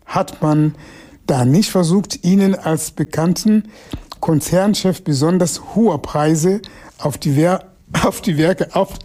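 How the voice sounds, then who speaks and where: Slow, halting man. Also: fairly high pitch, warm tone. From Mozambique. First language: Makonde. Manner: halting, many fillers and restarts. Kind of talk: casual spoken commentary on an interview.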